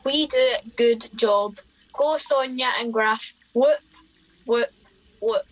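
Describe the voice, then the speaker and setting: A woman's voice: a little high, takes it slowly, long reverb tail, poor audio. A woman playing a simple, hopeful cave dweller who talks in clipped broken sentences in a radio comedy. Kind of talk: radio comedy play acting.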